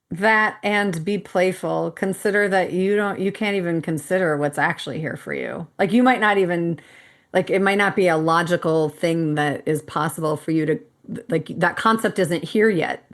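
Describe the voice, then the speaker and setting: Candid lower-voiced woman in her fifties. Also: normal speed. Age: 50s. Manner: candid and animated, laughs often, swears casually. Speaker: podcast co-host. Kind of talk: casual conversation.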